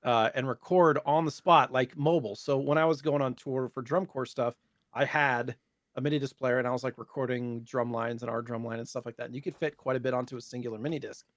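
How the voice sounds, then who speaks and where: A man's voice: medium pitch, fairly even intonation, fairly quick, dry recording, studio quality. An American man in his thirties chatting casually and in slang as a podcast host. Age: 30s. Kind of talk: casual chat about retro gaming.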